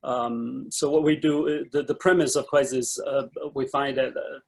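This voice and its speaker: A man from China introduces himself as a founder on a panel, his voice somewhat husky. He speaks earnestly and pads his sentences with frequent "uh"s. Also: medium pitch, fairly even intonation, normal speed, rough recording. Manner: earnest, lots of "uh" fillers. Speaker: startup founder and CEO, panelist. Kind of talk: self-introduction.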